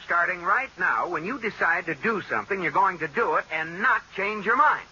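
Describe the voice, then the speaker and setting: A man's voice: high voice, expressive, moderate pace, pushed and bright, husky, exaggerated voice. An American man playing an exasperated, scolding husband in old radio sitcom style, emphatic and indignant. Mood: stern. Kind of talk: 1940s-50s radio sitcom acting. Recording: muffled old-time radio recording, faint noise.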